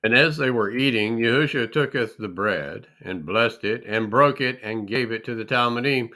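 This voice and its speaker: A man giving a solemn, deliberate reading of scripture, with dramatic emphasis on the phrases. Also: medium pitch, pushed and bright. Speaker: scripture reader.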